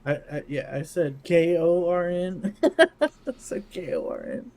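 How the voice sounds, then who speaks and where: Man, somewhat high-pitched voice, podcast co-host, casual conversational banter. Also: measured pace, a little mellow.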